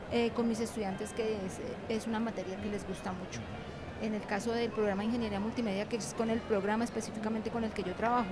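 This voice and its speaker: A middle-aged woman giving conversational interview answers in an informal, meandering way. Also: a little high. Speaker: university animation lecturer and author, interview guest. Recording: clear background noise of busy venue. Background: ambient noise of a book fair.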